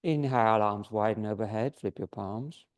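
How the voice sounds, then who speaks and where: A man teaching a yoga class, giving calm step-by-step posture and breathing cues while he is losing his voice. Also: lowish voice, moderate pace. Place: yoga studio.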